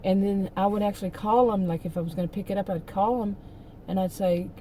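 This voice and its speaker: A middle-aged woman, hoarse from losing her voice, rambling and venting in a casual vlog from a parked car. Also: medium pitch, fairly even intonation, brisk, warm tone, slight background noise, poor audio. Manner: rambling, venting, with many restarts. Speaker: vlogger.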